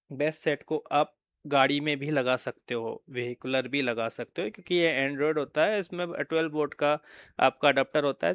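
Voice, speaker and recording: Clear, higher-voiced Indian man presenting. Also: fairly bright tone, no room sound. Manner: explanatory, often checking in with listeners. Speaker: product presenter. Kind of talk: informal product walkthrough presentation.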